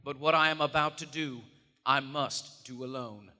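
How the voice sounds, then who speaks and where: An actor playing a domineering, driven occult investigator, grave and condescending, in a theatrical horror radio play performed live. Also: male voice, mid-range voice, steady tone, normal speed, very noisy, lightly acted. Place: on stage in a large theater. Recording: live theater hall reverb.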